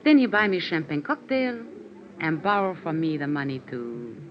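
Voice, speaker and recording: Lilting, coy Latin bar hostess. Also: female voice, deep voice, moderate pace, a little hiss or hum. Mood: playful. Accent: Latin American Spanish. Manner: broken English, lilting and expressive. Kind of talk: 1950s radio drama acting. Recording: dull vintage radio recording with music.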